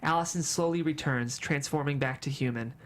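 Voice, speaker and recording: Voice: medium pitch, fairly quick. A narrator reading screenplay stage directions at a table read in a dramatic storytelling manner.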